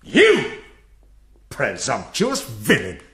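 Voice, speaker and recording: An actor playing an imperious, suspicious villainous prince in a theatrical, commanding gothic radio drama manner. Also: male voice, medium pitch, huge pitch swings, extremely slow, fairly bright tone, slightly rough, exaggerated voice. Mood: suspicious, menacing. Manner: theatrical, commanding, swooping delivery.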